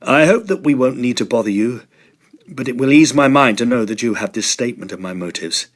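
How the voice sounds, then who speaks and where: A man playing a determined, agitated young gentleman in a Victorian horror drama, speaking earnestly and emphatically in formal phrases. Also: mid-range voice, lively intonation, brisk, natural voice. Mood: grave, resolute.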